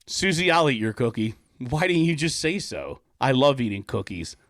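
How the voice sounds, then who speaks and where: A man's voice: medium pitch, very animated, moderate pace, a bit bright, no echo. A man voicing a kindly male engineer in a comic storybook, soothing and gallant. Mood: reassuring.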